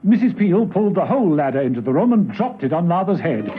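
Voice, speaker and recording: A man's voice: high voice, very animated, quick pace, over music. A man giving dramatic scripted narration for a vintage spy radio serial. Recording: tinny, narrow old radio recording, faint noise.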